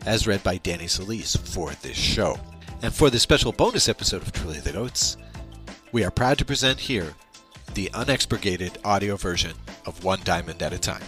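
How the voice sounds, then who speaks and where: Lively low-voiced male podcast host. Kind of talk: scripted podcast introduction. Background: music.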